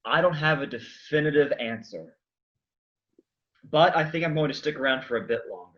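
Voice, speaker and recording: A man's voice: mid-range voice, animated, normal speed, a bit bright, close to an everyday voice. Man playing a weary, sardonic lover, slightly muffled. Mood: wary, dry. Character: Lazarus, weary, brooding, sardonic young man. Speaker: stage actor in a play reading. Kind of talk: staged play reading.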